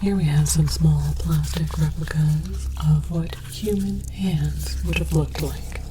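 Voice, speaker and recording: A woman whispering breathily in a hushed, mock-scholarly ASMR roleplay as a calm, curious alien archaeologist presenting objects. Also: sits low, slow, background noise. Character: calm, curious alien archaeologist researcher studying human relics.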